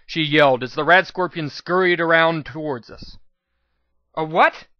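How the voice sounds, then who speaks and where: A man's voice: a little high, normal speed, no room sound, dull audio. A man giving a dramatic first-person scripted narration as the reader of a fan fiction audiobook.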